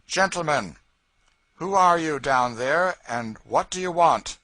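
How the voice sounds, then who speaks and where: A man reading aloud, voicing a defiant young man who speaks slowly and solemnly with force. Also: on the high side, animated, dry recording, pitched above the performer's own voice, heavily acted. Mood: stern. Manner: slow, solemn, forceful declaiming.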